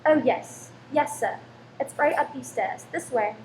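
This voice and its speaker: A woman's voice: high-pitched, expressive, measured pace. An actress in a radio play playing a deferential married landlady who is polite, eager and anxious to please. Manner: servile, polite, flustered when rebuked. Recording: roomy echo with faint background noise.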